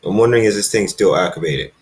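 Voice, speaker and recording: A man reading a story aloud informally, halting and casual, with dramatic pauses and asides to listeners. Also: on the low side, animated. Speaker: fan fiction narrator reading own recording. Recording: roomy, echoey, distant microphone.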